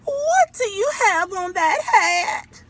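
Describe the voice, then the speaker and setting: A woman voicing an exasperated mama possum in a storybook, scolding with drawn-out, emphatic words. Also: extremely high pitch, sing-song, fairly bright tone, pitched above the performer's own voice, heavily acted.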